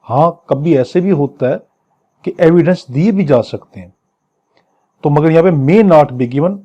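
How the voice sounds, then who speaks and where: A man's voice: a little high, mellow, husky, dull audio. A man with a Pakistani accent teaching law in a didactic way, explaining phrase by phrase and repeating himself. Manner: didactic, repeats and paraphrases, switches to slow English readings. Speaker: law lecturer. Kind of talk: exam lecture, explaining legal text phrase by phrase.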